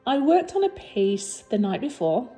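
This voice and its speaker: Woman doing a chatty voice-over for her craft vid, bit husky and tired, mellow tone, soft music under it. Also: fairly high pitch, sing-song, measured pace. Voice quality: slightly husky. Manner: chatty, warm and encouraging, with fillers and restarts. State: low energy after recent surgery. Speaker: resin artist and channel host. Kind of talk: casual voice-over narration of a craft video.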